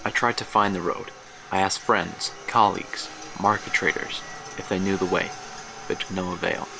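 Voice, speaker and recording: Deep, gravelly haunted man. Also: steady tone. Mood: troubled. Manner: grave, literary, measured storytelling. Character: haunted older man recalling his student days in Paris. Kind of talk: dramatic first-person horror monologue. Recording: noisy track with ambience behind.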